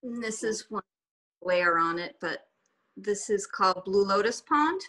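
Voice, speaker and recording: Bright chatty woman, german first language, video call, lots of restarts. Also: medium pitch, expressive, measured pace. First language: German and Swiss German. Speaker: guest artist. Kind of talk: casual video call chat.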